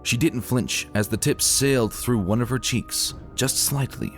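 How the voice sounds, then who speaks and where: A man narrating a fantasy story as a dramatic reading, solemn and deliberate in his storytelling. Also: mid-range voice, fairly bright tone, faint music behind.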